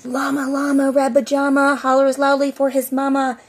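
Middle-aged female preschool teacher, high-pitched, expressive sing-song read-aloud for small children. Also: normal speed. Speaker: preschool teacher reading a storybook. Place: outdoors on a covered porch.

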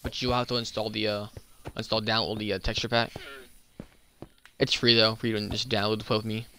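A man's voice: on the low side, flat delivery, a little fast, pushed and bright, slight background noise. A young man streaming a game and chatting casually, stumbling over words, slurring a little, with a slight lisp. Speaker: gaming YouTuber and streamer. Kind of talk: casual gameplay chat.

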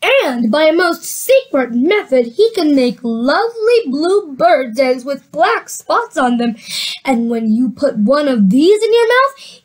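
A woman reads a children's storybook aloud in a high voice, giving the characters voices of their own. She often restarts lines and drops into relaxed asides to her listeners. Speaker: reader of a children's book.

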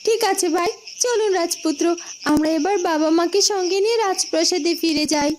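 Woman voicing a very high-pitched caring young princess. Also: fairly quick, noisy background. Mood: polite. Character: young princess, caring, dutiful elder sister. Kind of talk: Bengali fairytale cartoon dubbing.

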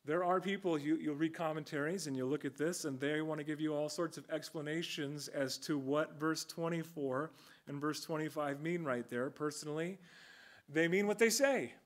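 Earnest pastor in his thirties, slightly high voice. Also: moderate pace. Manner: earnest, conversational preaching with emphatic rises. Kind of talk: expository sermon. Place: church sanctuary with a stage and band gear.

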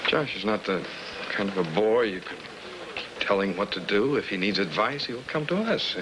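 Man acting low-pitched middle-aged American dad, earnest, hesitant, 1950s film drama, noisy soundtrack. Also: animated, normal speed, lightly acted. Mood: weary, defensive. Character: troubled, earnest middle-aged father of a teenage boy.

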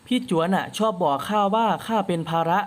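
Man voices a hopeful young male fighter, earnest, bright high tone. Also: animated, fairly quick.

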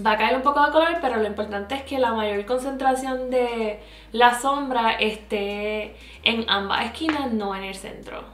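A young woman with a Puerto Rican accent chats casually as she does her makeup on camera, mixing in English words. Her voice sits a little high. The room rings noticeably, with soft music underneath. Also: roomy sound. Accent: Puerto Rican Spanish. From Puerto Rico. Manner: chatty, mixes English words into Spanish. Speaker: makeup vlogger. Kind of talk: makeup tutorial, talking while applying. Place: small indoor room with plain backdrop.

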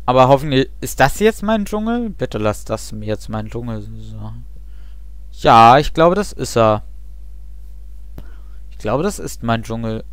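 A man's voice: mid-range voice, sing-song, unhurried, pauses often, dry recording. A young man chatting casually and rambling with self-mocking asides while he plays a game. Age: young adult. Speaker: let's play host. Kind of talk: casual gameplay commentary.

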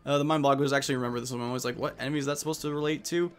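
A man's voice: mid-range voice, fairly even intonation, racing, faint music behind. A man giving casual let's play commentary on a video game, reading dialogue aloud as he goes.

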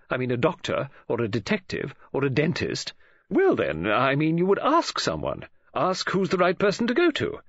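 A male narrator plays a fussy, imaginative middle-aged woman in an audiobook. The voice leaps high and swings wildly up and down as it gushes and exclaims. The recording is a little dull. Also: fairly bright tone, slightly rough, no echo, full character voice. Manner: gushing, exclamatory, swooping emphasis.

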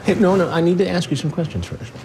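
A man confronting a visitor calmly and firmly as an investigative TV host. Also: sits high, fast talker. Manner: calm, firm questioning. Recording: noisy hidden-camera audio.

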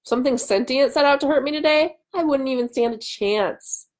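A woman, giving an animated live reading of a story in a small room at home. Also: sits high, moderate pace. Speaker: author and host. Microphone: webcam mic at arm's length.

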